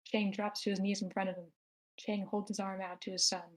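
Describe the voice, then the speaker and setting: Woman narrating evenly, little pitch movement. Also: level tone, a little fast. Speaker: narrator reading stage directions. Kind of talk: scripted narration at screenplay table read. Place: online meeting, own room.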